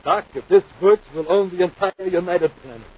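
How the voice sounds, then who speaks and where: An actor playing an eager, greedy criminal accomplice in a 1950s radio serial. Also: male voice, sits high, brisk, husky. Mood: gloating. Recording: muffled 1950s radio recording.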